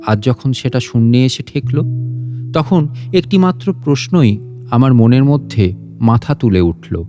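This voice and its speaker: A man's voice: medium pitch, lively intonation, a little slow, dark tone. A man reads a literary story as a scripted audio narration. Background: guitar and instrumental music.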